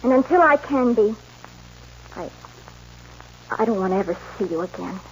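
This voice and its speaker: An actress playing a principled, firm young rancher's daughter in a 1940s radio western. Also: female voice, mid-range voice, lively intonation, normal speed, natural voice. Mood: stern, heartfelt. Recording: dull, noisy old radio recording.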